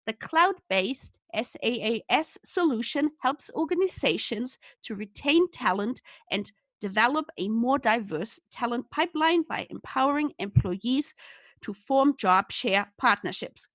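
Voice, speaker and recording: A woman's voice: a little high, sing-song, measured pace, a bit bright, no room sound. A woman hosting a podcast, reading a scripted intro and then asking questions in an enthusiastic, upbeat way. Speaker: podcast host. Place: Vancouver, Canada.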